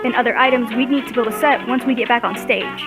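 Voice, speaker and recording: High-pitched teenage girl presenting. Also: fairly even intonation, fast talker, over music, low-quality recording. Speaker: student presenter, drama performer. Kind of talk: scripted appeal to audience.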